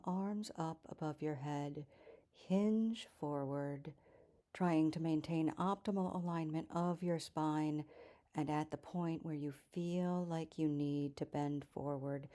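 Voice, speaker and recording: A woman leads a yoga class in a low, mellow voice. She gives calm cues at an unhurried, slow pace, letting the ends of phrases fall soft and breathy. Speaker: yoga teacher.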